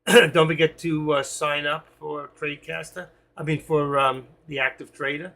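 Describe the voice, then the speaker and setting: A man hosting a live trading stream, talking to viewers while he watches the charts, with quiet asides between his sign-offs. He is losing his voice. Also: fairly high pitch, little pitch movement, unhurried, gravelly. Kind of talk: live market commentary to viewers.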